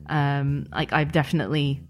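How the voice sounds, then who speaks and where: Female guest, deep-voiced, conversational and a little hesitant. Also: little pitch movement, moderate pace, soft background music. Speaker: interview guest, audio drama producer and editor. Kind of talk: casual interview conversation.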